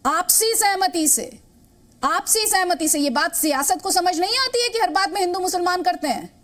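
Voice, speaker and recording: An Indian woman with a distinctly high-pitched voice works as a TV news anchor. She interviews confrontationally, pressing assertively and repeating her questions and points. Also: fairly bright tone.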